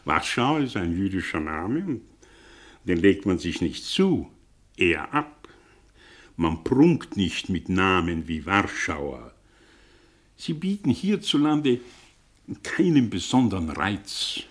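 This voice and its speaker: A man's voice: low-pitched, sing-song, heavily acted. An actor in a German radio play playing a suspicious, sly middle-aged tutor with a theatrical, probing way of drawing out his phrases. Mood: teasing, probing. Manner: theatrical, probing, drawn-out phrasing with sudden swings. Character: suspicious, sly English tutor, a man living under an alias.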